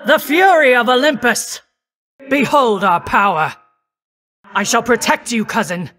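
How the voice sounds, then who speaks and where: A noble warrior goddess who speaks with stately command. Her voice is measured and protective. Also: fairly high pitch, expressive, fairly bright tone. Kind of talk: video game voice lines.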